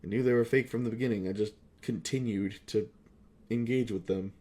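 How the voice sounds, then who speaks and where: A man's voice: fairly low pitch, steady tone, a little fast, dark tone. A man talking in a rambling, confessional, casual monologue, candid and self-deprecating, with frequent swearing. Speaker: voice actor reflecting on hobby career.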